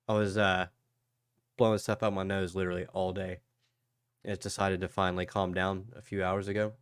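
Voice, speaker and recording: A man with a stuffy, sniffly nose hosting a casual crypto livestream, low on energy and rambling as he greets viewers by name. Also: little pitch movement, normal speed, pauses often, smooth, no room sound, studio quality. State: congested, sniffly.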